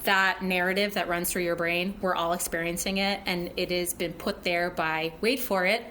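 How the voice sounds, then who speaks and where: A woman's voice: on the low side, fairly even intonation, moderate pace, sharp tone, a little hiss or hum. A woman in her forties hosting a podcast, talking in a frank, emphatic way with casual swearing. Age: 40s. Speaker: podcast host. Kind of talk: casual chat.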